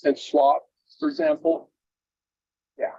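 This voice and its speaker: A man's voice: very high-pitched, sing-song, takes it slowly, creaky, warm tone, no echo, highs cut off. A man answering an audience question at a conference, speaking thoughtfully and qualifying his general claims. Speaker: keynote speaker.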